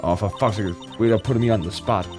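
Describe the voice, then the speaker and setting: A man's voice: lowish voice, fairly quick, over music. A man doing an improvised comic reading, breaking into hesitant, giggly, sweary asides.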